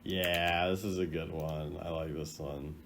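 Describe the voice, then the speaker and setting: A man's voice: rumbling low, steady tone, a little slow, a bit bright, a little hiss or hum. A man giving casual, off-the-cuff commentary as a video host, rambling and playfully opinionated. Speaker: video host rating game voice lines.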